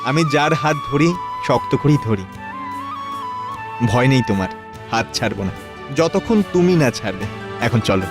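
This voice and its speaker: A man playing a kindly, protective divine elder brother in a children's cartoon, reassuring and gently instructive. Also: medium pitch, lively intonation, moderate pace, pauses often, loud music behind the voice, close to an everyday voice.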